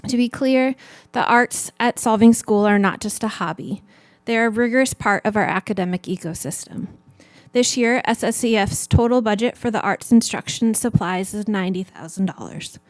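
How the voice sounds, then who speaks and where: A woman's voice: medium pitch, moderate pace, no room sound. A woman from California, head of a school foundation, earnestly reading a funding request to a city council. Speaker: education foundation director. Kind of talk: prepared public statement.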